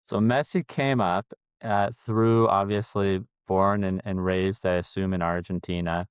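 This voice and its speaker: Deep, smooth-voiced male podcast host. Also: steady tone, a little slow, no echo, muffled recording. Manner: rambling, restarting questions. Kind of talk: casual interview questions.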